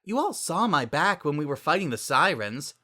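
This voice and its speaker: A man's voice: a little high, sing-song, normal speed, pushed and bright, pitched above the performer's own voice, exaggerated voice. A man voicing a guarded but good-natured teenage girl who talks casually, in a dramatic reading. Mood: dismissive.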